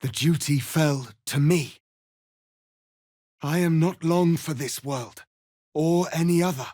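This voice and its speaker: A man playing a proud, stern alien warrior prince in a fantasy video game, speaking formally and gravely with commanding, measured delivery. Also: fairly high pitch, dark tone, no echo, studio quality. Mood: urgent, grave. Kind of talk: dramatic fantasy game dialogue.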